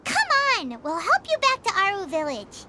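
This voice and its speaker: A woman voicing a small, fussy, excitable fairy girl with a squeaky childlike voice in a video game. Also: moderate pace, very noisy. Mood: chatty. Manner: exclamatory, refers to self by name.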